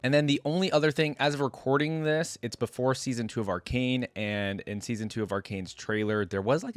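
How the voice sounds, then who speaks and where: A man hosting a reaction video, switching between casual commentary and reading lore aloud, thinking out loud as he goes. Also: on the low side, no room sound. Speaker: YouTube reaction host.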